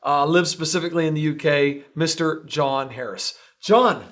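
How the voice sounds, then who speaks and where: A man's voice: a little high, normal speed, fairly bright tone. A man hosting an interview show, casual and jokey in manner. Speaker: show host.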